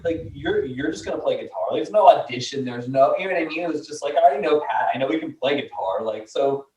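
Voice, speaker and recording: A man's voice: a little high, quick pace, darkish tone. A man in his late thirties telling stories in a laid-back, rambling way with lots of fillers, recorded off-mic in a room. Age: 30s. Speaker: band member. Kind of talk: casual chat. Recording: roomy, distant, dull mic.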